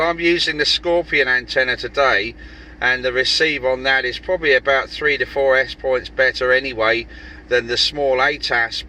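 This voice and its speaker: A man's voice: mid-range voice, pushed and bright, poor audio. A man chatting casually as an amateur radio operator. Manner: signs off turns with "over".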